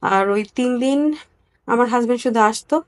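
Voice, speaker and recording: A woman from Bangladesh giving a personal account as an interview guest, candid and somewhat halting. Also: fairly high pitch, fairly even intonation.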